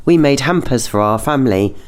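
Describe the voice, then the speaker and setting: A woman's voice: a bass voice, expressive, fairly quick. A woman chatting casually as a podcast guest. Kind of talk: casual chat.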